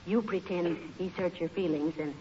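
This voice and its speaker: A woman playing a sensible, motherly middle-aged wife who gently chides, in old radio comedy. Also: on the low side, moderate pace, slight background noise, lightly acted. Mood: confiding. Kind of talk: 1940s radio comedy acting. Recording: muffled old radio recording.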